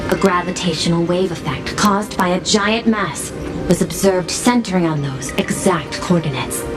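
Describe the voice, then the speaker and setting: A woman's voice: lowish voice, normal speed, natural voice. A woman voicing a coolly logical android analyst in a video game, reporting in a clinical, formal way with clipped labels before statements. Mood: clinical, detached. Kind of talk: scripted game dialogue. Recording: game audio under loud music.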